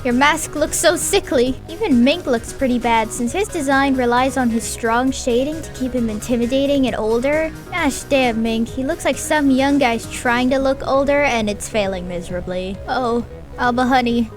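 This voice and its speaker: A woman's voice: high voice, moderate pace, over music. A woman giving a sarcastic, swearing anime review with mock-dramatic asides. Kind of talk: sarcastic video review commentary.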